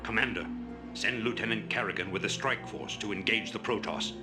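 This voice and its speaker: A man playing a grave, resolute rebel leader in a video game, speaking in a measured, oratorical, authoritative way. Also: on the low side, close to an everyday voice. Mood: cold, firm. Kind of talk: dramatic video game voice acting. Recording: music behind the voice.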